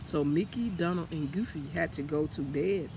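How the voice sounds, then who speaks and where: A man reading a children's story aloud as storyteller, in an expressive manner. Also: a little high, moderate pace, gravelly, very noisy. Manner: expressive, with acted character lines.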